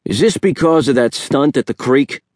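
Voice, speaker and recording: A man's voice: medium pitch, lively intonation, normal speed, a little mellow, no room sound, studio quality, pitched above the performer's own voice, lightly acted. A man voicing a friendly, teasing uncle in an audiobook, folksy and encouraging. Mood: concerned, probing.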